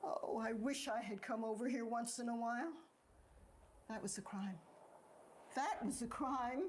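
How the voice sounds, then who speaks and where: A woman playing a grieving, remorseful and quietly defiant farm wife in a stage play. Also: fairly high pitch, a little slow, close to an everyday voice. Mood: regretful, anguished. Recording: noisy amateur recording.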